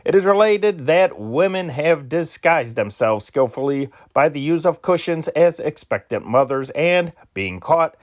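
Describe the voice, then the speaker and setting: A man reading old newspaper dispatches aloud as an amateur news reader, measured, sometimes correcting himself and slowing at phrase ends. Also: on the high side.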